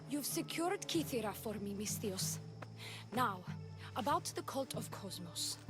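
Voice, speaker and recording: A woman playing a proud, imperious high priestess of ancient Greece in a video game, with a formal, theatrical delivery. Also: animated, a little slow, music in the background. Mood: composed, haughty. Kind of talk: video game cutscene acting.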